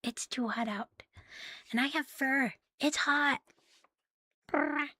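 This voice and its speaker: A woman livestreaming ASMR in a playful, cutesy catgirl manner, soft and breathy, often whispering, close to the microphone. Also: high-pitched, slow, no echo. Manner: playful, cutesy catgirl persona, with giggles and purring. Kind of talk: ASMR, intimate chat to live listeners.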